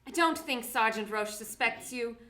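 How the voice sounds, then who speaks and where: Woman acting a high, bright, poised socialite. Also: moderate pace, a little hiss or hum. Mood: composed, sympathetic. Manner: formal, polished, composed. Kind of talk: live radio drama acting.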